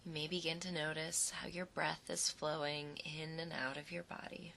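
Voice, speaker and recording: A woman guides a relaxation exercise in a low voice with a noticeable creak. She takes her time, letting short, soothing phrases settle between pauses. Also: flat delivery, bright, forceful tone, slight background noise. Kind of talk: guided imagery meditation.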